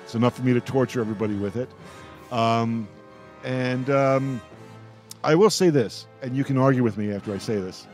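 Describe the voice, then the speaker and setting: A man hosting a radio roundtable, talking in a casual, rambling fan-discussion style and often halting to repeat his words. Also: medium pitch, a little mellow, soft background music.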